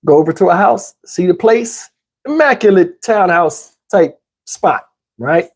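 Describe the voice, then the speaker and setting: A man with a husky, slightly rough voice tells a personal story as the host of his own channel. His delivery is casual and slangy. He animatedly plays out both sides of conversations for comic effect. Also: high-pitched, measured pace. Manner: animated, slangy, acts out dialogue.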